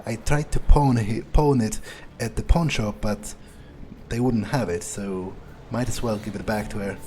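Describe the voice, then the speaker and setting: Man playing a slow-talking, sardonic vampire. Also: on the low side, darkish tone, slight background noise, lightly acted. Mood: musing, muttering. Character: sardonic, pragmatic male vampire sneaking around. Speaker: game player roleplaying a character. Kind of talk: in-character gameplay commentary, thinking aloud.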